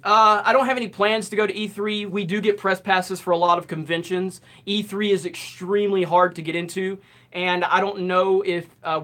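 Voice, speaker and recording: A man in his late thirties hosting a live Q&A stream, reading out viewer comments and answering in a relaxed, rambling way. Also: sits high. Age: 30s. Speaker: host.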